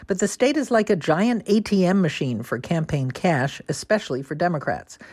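An American woman reports political news from a script. Her voice is notably low for a woman, captured dry and close. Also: normal speed, a little mellow, no echo. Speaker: radio political correspondent.

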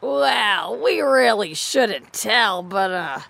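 A woman's voice: medium pitch, lively intonation, drawn-out words, creaky, fairly bright tone, heavily acted. A woman playing a sly gossiping clam in a children's audio folktale, coy and teasing as she draws out her words. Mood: coy, scheming.

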